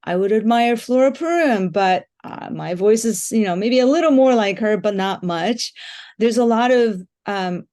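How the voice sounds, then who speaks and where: A woman's voice: mid-range voice, a little mellow, smooth. Woman musician answering interview stuff, frank and thoughtful, kinda rambly. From Japan and the United States. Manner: thoughtful, frank, with many fillers.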